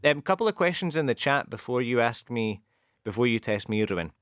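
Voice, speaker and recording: A man from the west of Scotland with a clear Glasgow accent talks through football idioms at a patient, teacherly pace. His voice sits at an ordinary pitch for a man. The sound is close and dry, with no room echo at all. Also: expressive, a bit bright. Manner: patient, explains slowly, mixes in Spanish. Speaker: radio show co-host teaching English. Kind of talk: bilingual radio chat, explaining football idioms.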